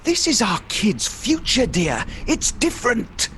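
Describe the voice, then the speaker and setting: A man's voice: very high voice, huge pitch swings, a little slow, a bit bright, slight background noise, exaggerated voice. A man acting a mild, sheepish husband and father in a comic radio play, hesitant and bantering. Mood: coaxing. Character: hapless, mild-mannered husband and father, freshly dead.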